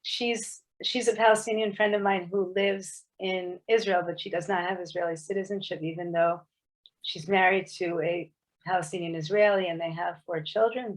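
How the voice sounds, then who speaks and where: This is a woman with a somewhat low voice, talking as a guest author on a video call. She speaks thoughtfully and tentatively, pausing and restarting her phrases as she works out what she means. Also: steady tone, poor audio. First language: English. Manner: thoughtful, hesitant, many restarts. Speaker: author guest at a book club. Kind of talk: casual explanatory talk on a video meeting.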